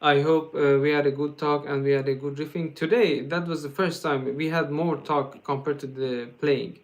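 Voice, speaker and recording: A man with a Persian accent, his voice sitting a little high, talks casually to viewers on a guitar livestream. He stumbles and restarts often, padding his answers with fillers, and laughs easily as he jokes. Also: fairly even intonation. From Iran. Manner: halting, with frequent fillers and restarts. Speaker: guitar teacher hosting a livestream.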